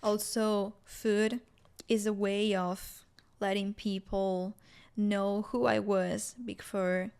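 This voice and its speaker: A woman's voice: mid-range voice, little pitch movement, slow, a little mellow, clean tone. A young woman from Italy with a strong Italian accent, speaking reflectively as a guest in an interview conversation. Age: young adult. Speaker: podcast guest, student.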